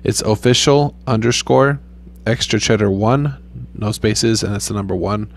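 Young man, low voice, flat and low-key, rambling gamer chatter, relaxed. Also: normal speed. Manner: laid-back, rambling, frequent swearing. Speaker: gaming YouTuber. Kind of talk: casual gameplay commentary.

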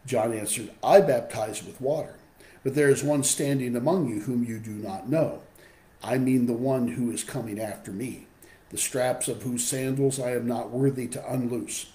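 Hoarse older man teaching Bible class. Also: medium pitch, normal speed, warm tone, roomy sound. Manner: explanatory, reads scripture aloud. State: hoarse from a cold. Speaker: Sunday school teacher. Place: home room with window. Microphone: webcam video call.

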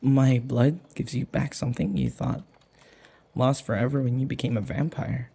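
A man playing a timid, submissive human in an intimate audio roleplay, halting and stammering, with a breathy, husky voice. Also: medium pitch, expressive, warm tone, full character voice. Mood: gentle, curious.

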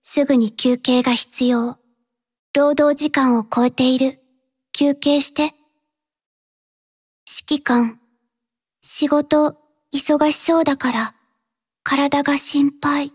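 Anime game mercenary, young female: high pitch, unhurried, quiet, terse, earnest and reserved. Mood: soft, reserved. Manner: quiet, terse, halting, flatly earnest delivery. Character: reserved, dutiful young female mercenary robot-arm mechanic. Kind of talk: Japanese anime-style mobile game voice lines.

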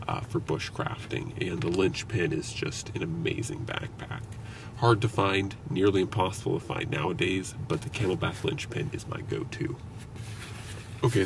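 A young man talking casually about his outdoor gear from inside a parked car, with relaxed, conversational speech and plenty of fillers. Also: sits low, vocal fry. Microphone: camera on the dashboard. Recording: somewhat distant mic, noticeable background hiss.

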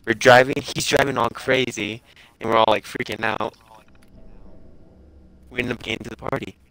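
A young man telling a casual story in a halting, rambling way full of self-corrections, as a game streamer chatting. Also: mid-range voice, fairly even intonation, a bit bright, low-quality recording. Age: young adult. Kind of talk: casual storytelling over gameplay.

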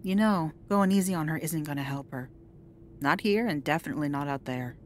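A woman's voice: fairly quick, a little mellow, lightly acted. A woman playing a tough, uncompromising mother and field agent in an audio drama, firm and blunt. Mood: stern. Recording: faint low rumbling noise.

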